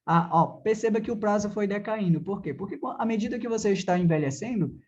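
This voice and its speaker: A man's voice: high-pitched, a little mellow, dull audio. A Brazilian man giving a conversational classroom lecture as a driving theory teacher, didactic, posing questions and answering them himself with frequent check-in tags.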